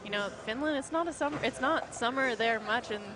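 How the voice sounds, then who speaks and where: A young woman chats casually as a guest on a skate broadcast, hesitant and full of "like". Her voice is fairly high. A crowd is clearly audible around her. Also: brisk. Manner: hesitant, filler-heavy, lots of "like". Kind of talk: casual chat. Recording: crowd noise behind.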